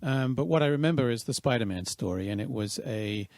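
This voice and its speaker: A man in his fifties from Wales, a guest talking through his thoughts with many pauses and restarts. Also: medium pitch, animated, darkish tone, no room sound. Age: 50s. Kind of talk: casual interview.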